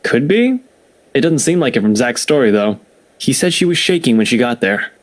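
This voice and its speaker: An easygoing young man played by a male actor in a visual novel game. His voice is medium in pitch and conversational. He comes across as a caring, slightly worried friend talking casually. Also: lively intonation, moderate pace, natural voice. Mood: concerned.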